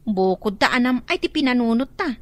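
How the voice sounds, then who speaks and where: An actress playing an elder sister living abroad who defends buying a house in a radio drama. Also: female voice, on the high side, animated, a little fast, no echo, close to an everyday voice. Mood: defensive.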